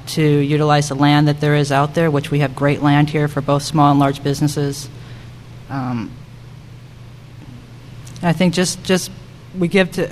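A woman speaking as a council member and candidate at a public forum, haltingly searching for her words. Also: deep voice. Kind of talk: semi-improvised campaign remarks at a public forum. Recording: echoey hall with background noise.

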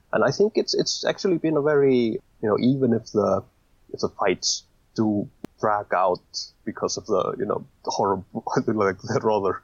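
A man's voice: lowish voice, slightly rough, no room sound, highs cut off. A man guest on a podcast, talking casually and hesitantly with many fillers and restarts. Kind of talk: casual interview talk.

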